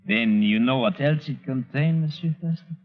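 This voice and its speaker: A dull, muffled vintage radio recording of a suave villain played by a man. His French-speaking figure talks in a medium pitch with formal, careful politeness and cold menace. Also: normal speed, close to an everyday voice. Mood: smug menace. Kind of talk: 1940s radio drama acting.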